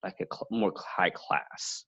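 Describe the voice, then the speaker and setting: A young man from Beijing with a Chinese accent, chatting casually as a guest and often pausing to search for words. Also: sharp tone. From Beijing, China. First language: Mandarin Chinese. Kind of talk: casual chat.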